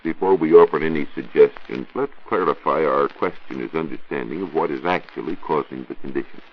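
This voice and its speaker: A man with an American accent explaining science on a scripted 1940s radio show, in a didactic, deliberate and emphatic way. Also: very deep voice, breathy edge. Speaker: science reporter answering listener questions. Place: radio studio. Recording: muffled, noisy 1940s radio recording.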